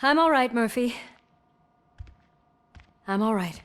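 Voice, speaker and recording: High-voiced tough policewoman. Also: lively intonation, slow, clear, resonant tone. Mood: weary, relieved. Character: tough, resilient female police officer.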